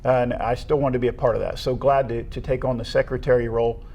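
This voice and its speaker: Casting: middle-aged American man, average pitch, sincere and appreciative, relaxed interview talk from a school athletics staffer. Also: fast, vocal fry. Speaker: retiring assistant athletic director, interview guest. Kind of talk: casual conversational interview.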